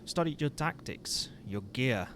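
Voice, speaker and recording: A man voicing a smug but wistful hero captor who is secretly fond of the villain, in a theatrical, deliberate ASMR roleplay monologue. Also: medium pitch, animated, natural voice. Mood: intense, accusing. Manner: theatrical, deliberate, with dramatic pauses. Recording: amateur recording with audible background noise.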